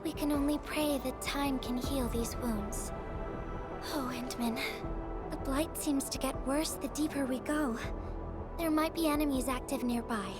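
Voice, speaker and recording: High young anime game girl, earnest messenger type, expressive, loud music behind her. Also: unhurried. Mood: concerned, reflective. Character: earnest, upbeat young female messenger, playful and caring. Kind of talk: anime-style video game voice acting.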